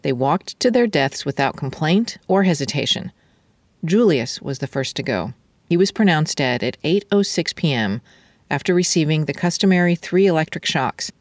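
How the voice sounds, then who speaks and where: A woman narrating a scripted epilogue in a measured, even manner. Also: deep voice, no room sound.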